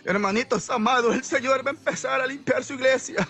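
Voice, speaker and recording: A man preaches with fervor in an extremely high-pitched, sharp and intense voice. His delivery is emotional and exhorting, circling back on phrases and punctuating them with devout interjections. Music plays softly behind him. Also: a little fast. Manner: emotional, impassioned, often rising toward shouts or tearful pleading. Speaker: evangelical preacher. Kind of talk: fervent revivalist sermon, testifying of a vision.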